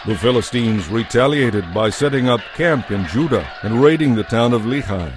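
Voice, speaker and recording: Casting: male narrator for dramatized scripture, mid-pitched, measured and solemn scripted storytelling. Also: lively intonation, noisy background.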